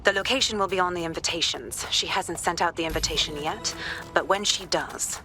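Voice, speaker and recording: A woman's voice: fairly low pitch, a little fast, natural voice. A woman playing a stern female investigator in a video game, blunt and urgent. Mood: urgent, resolved. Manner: blunt, forceful.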